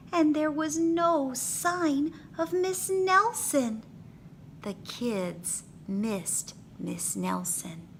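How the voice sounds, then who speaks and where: A woman reading a picture book aloud to children with dramatic, expressive phrasing. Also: on the high side, slight background noise.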